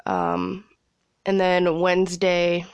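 A woman's voice: lowish voice, flat delivery, slow, clean tone, dry recording, muffled recording. A young woman with a congested cold, rambling casually as a podcast host from a small closet, laughing and swearing freely. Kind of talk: casual solo chat.

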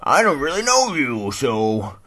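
A man voicing a kindly, slow old blind male hound dog in a bedtime story, drawling and hesitant. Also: mid-range voice, wildly swooping pitch, bright, forceful tone, voice pushed up, heavily acted. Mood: gruff, wary.

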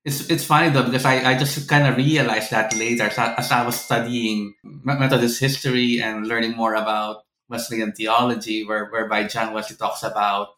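A man's voice: mid-range voice, steady tone, moderate pace, few pauses, sharp tone, slight background noise. A middle-aged man from the Philippines with a Filipino accent, telling his own story conversationally as a podcast guest. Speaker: seminary professor, podcast guest. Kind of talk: conversational personal storytelling in an interview. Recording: roomy, echoey sound.

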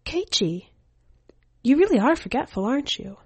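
A woman's voice: sits high, very animated, normal speed, darkish tone, husky, no room sound, pitched above the performer's own voice, heavily acted. A woman voicing a small, earnest and bold orphan boy in a short story, innocent and matter-of-fact. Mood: earnest, cheeky. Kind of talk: audiobook-style short story character voice.

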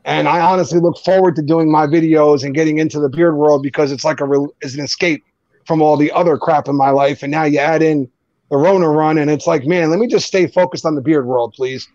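A man's voice: fairly high pitch, fairly even intonation, darkish tone, dry recording, highs cut off. A man with a New Jersey accent, chatting casually and joking as a co-host of a group video stream. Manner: joking, laughs often, quick back-and-forth.

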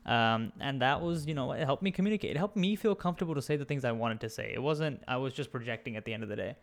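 A man's voice: mid-range voice, fast talker, vocal fry. A young man from India with a mixed British, Australian and American accent, speaking as a podcast guest in a candid, reflective way. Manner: reflective, candid, searching for words. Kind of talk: casual conversational interview.

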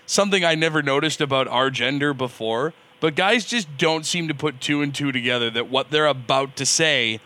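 Bright, smooth-voiced male interviewer. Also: fairly high pitch, normal speed, hardly any pauses. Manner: joking, relaxed questioning. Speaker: radio interview host. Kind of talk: podcast interview.

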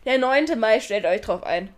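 A young woman in her twenties chats on a casual podcast in a high voice that sits well above most women's. She rambles, giggles and keeps restarting her sentences as she tells her stories. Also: expressive, measured pace. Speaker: podcast co-host. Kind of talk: casual chat.

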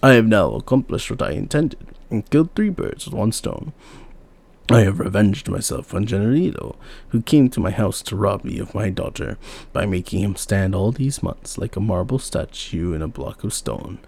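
A man with a gravelly voice voicing a stern old father, a sorcerer, proclaiming his judgment. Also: fairly low pitch, expressive, moderate pace, darkish tone. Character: powerful, vengeful but forgiving old father sorcerer.

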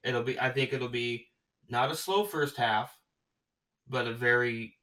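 An excitable man hosts a casual sports chat, gushing over his picks and tripping into restarts as he talks. His voice sits in a middle range. He sounds set back a little from the microphone. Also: mid-range voice, a little slow, distant mic. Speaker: podcast host.

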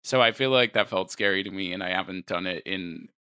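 A man's voice: sits low, brisk, bright, forceful tone, clean tone, dry recording, clean studio sound. A man in his twenties, a stand-up comedian, chatting casually and rambling with lots of filler words. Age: 20s. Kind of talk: casual conversation.

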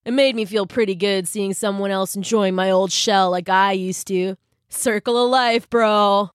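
A woman's voice: mid-range voice, steady tone, measured pace, smooth, dry recording, clean studio sound, close to an everyday voice. A woman voicing a friendly, laid-back male hermit crab who talks in casual dude slang in a storybook. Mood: easygoing.

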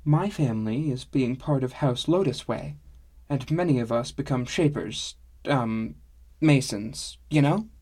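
A man's voice: medium pitch, a little slow, mellow, lightly acted. A man voicing a kindly, frank, easily amused dwarf woman with quaint foreign-style phrasing in an audiobook. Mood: explaining, reflective. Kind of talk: audiobook character dialogue.

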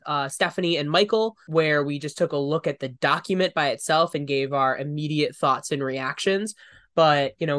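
A man's voice: fairly high pitch, fairly bright tone, clean tone, no room sound, clean studio sound. Stuffy-nosed male co-host, nasal, talks things through with fillers. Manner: thoughtful, explanatory, with fillers. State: congested, nasal. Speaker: podcast co-host. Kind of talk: casual chat.

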